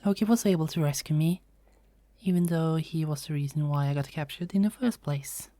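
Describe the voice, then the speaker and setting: A woman's voice: low-pitched, brisk, warm tone, no room sound, lightly acted. A woman playing a teasing, kindly Norse goddess in a conversational fantasy audio roleplay. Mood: gentle, chatty. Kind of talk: fantasy ASMR roleplay acting.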